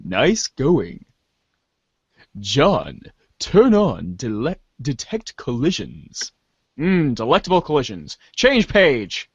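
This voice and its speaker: A man's voice: mid-range voice, wildly swooping pitch, takes it slowly, slightly rough, dry recording. A man hosting and narrating an amateur reader's theater, in a muffled lo-fi recording. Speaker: host and narrator.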